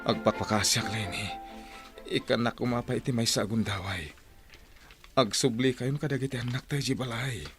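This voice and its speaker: A man's voice: medium pitch, normal speed, fairly bright tone, soft background music, close to an everyday voice. Bitter, demanding estranged husband played by a man. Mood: pleading. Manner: confrontational, demanding. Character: bitter, boastful, threatening estranged husband and father. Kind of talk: Ilocano radio drama acting.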